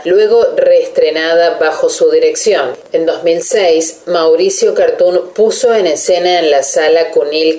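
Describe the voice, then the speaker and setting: A woman delivers scripted radio narration. Her voice is low and mellow. The recording is roomy, with noticeable echo. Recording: roomy, reverberant voice-over.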